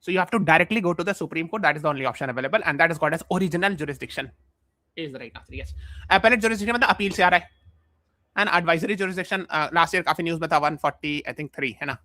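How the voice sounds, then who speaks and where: A man's voice: fairly high pitch, rapid-fire, bright, forceful tone, no echo. A man with an Indian accent teaching a live online exam class while mildly feverish, explaining points repeatedly and checking with questions.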